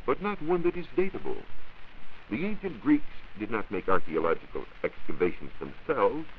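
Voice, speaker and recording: A low-voiced American man delivers a scripted science talk in a formal, oratorical way, with lively rises and falls. The sound is a muffled, hissy 1940s radio recording. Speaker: science reporter.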